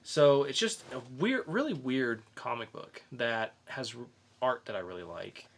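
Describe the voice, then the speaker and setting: A man's voice: mid-range voice, animated, measured pace. A man hosting a podcast, casually reviewing in an informal, rambling way with some swearing. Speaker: podcast host and comic reviewer.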